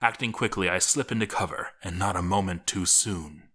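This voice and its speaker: A man narrates a story in a fairly low voice with a bright, forceful edge. His delivery is theatrical and deliberate, shaped for drama with well-placed pauses. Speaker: narrator reading a game story aloud.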